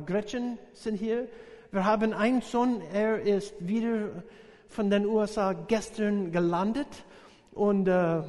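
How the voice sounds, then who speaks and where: A middle-aged American man with a notably high voice preaches a sermon in a language he learned later, his native English showing through. He halts often and fills gaps with "uh" while joking warmly with his listeners. His voice rings through a church hall with a long, echoing tail. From USA. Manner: halting, searching for words, many fillers, jokes with congregation. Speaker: visiting missionary preacher.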